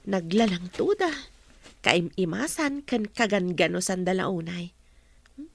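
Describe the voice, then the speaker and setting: An actress playing an earnest, suspicion-prone young wife who sells farm produce, with a Filipino Ilocano accent, in radio drama style. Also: female voice, fairly low pitch, lively intonation, measured pace, creaky, slight background noise. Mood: cheerful, musing. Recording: music bed under radio drama dialogue.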